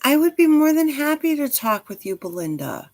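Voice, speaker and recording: A woman's voice: high-pitched, sing-song, moderate pace, darkish tone, no echo, studio quality. A woman in her forties chatting casually on a livestream as host, speaking directly to listeners in an encouraging, reassuring way. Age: 40s.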